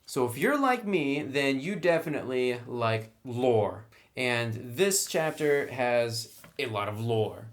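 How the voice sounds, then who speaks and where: Guy doing a laid back tabletop book review, mid pitched voice, talks it through informally. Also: lively intonation, slow pace, few pauses. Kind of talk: casual review narration.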